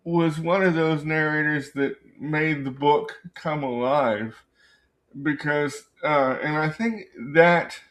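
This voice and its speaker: A man hosting a book channel, telling a story casually and unscripted, haltingly searching for words and often rephrasing himself. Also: fairly high pitch, fairly bright tone. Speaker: book review channel host.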